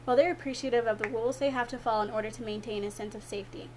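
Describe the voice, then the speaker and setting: A woman's voice: fairly high pitch, steady tone, rapid-fire, noisy background. A young woman delivering a rehearsed informative speech as a student, in a recited, emphatic manner. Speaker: student speaker.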